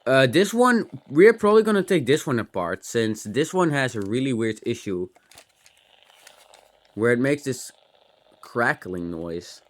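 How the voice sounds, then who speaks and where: A man's voice: medium pitch, sing-song, a little slow. A man giving a casual, unscripted hands-on gadget demo, rambling and thinking aloud with some mild swearing. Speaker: host of a tech teardown channel.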